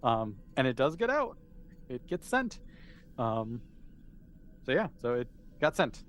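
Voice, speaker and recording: A man's voice: medium pitch, sing-song, takes it slowly. Man game-mastering casually, noisy recording. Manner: conversational, improvising as they go. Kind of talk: casual tabletop game narration.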